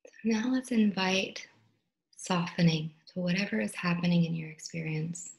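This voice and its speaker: A woman meditation teacher leading a guided meditation, speaking softly and gently in unhurried phrases with long pauses. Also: on the low side, vocal fry, low-quality recording. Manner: gentle, instructional, soothing.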